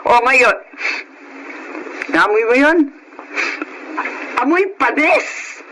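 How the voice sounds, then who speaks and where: An actress playing a sharp-tongued older mother-in-law who scolds with exaggerated delivery in a radio drama. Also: sits high, lively intonation, drawn-out words, very noisy, full character voice. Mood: scolding, disgusted. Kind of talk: Filipino radio drama acting.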